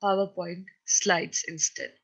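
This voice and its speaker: A woman's voice: fairly low pitch, little pitch movement, slow pace, fairly bright tone, breathy edge. A woman with a Pakistani accent teaching a recorded lesson, speaking in an instructive, emphatic way and often checking that her listeners follow. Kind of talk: recorded lecture on presentation skills.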